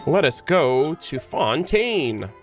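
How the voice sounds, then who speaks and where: Slow-paced, higher-voiced man streaming casually. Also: sing-song, soft background music. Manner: informal, slangy, joking with viewers. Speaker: live streamer. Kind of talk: casual game streaming chat.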